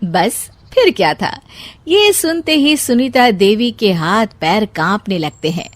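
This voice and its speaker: A woman's voice: mid-range voice, very animated, slow pace, dry recording. A woman narrating a scripted story as its storyteller.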